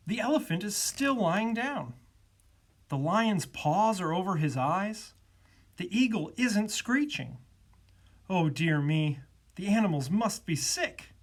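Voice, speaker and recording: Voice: medium pitch, big pitch swings, takes it slowly, voice pushed up, exaggerated voice. A woman voicing an alarmed male zookeeper in a children's storybook, flustered and wailing. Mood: worried wailing.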